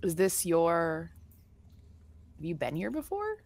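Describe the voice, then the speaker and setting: A woman's voice: on the low side, huge pitch swings, takes it slowly, creaky, darkish tone, no room sound. A woman chatting casually as a player in a tabletop game, tentatively thinking aloud.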